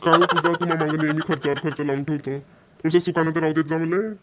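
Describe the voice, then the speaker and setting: A man's voice: fairly high pitch, steady tone, quick pace, very noisy, lightly acted. A man playing an exasperated, thrifty young husband in a cartoon, complaining and scolding with a Vidarbha Marathi accent. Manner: nagging, complaining. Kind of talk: amateur cartoon voice acting.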